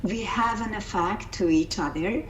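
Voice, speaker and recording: A woman's voice: mid-range voice, normal speed, muffled recording, a little hiss or hum. A middle-aged woman from Hungary with a Hungarian accent, giving thoughtful interview answers and often repeating words as she searches for phrasing. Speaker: interview guest, coaching founder.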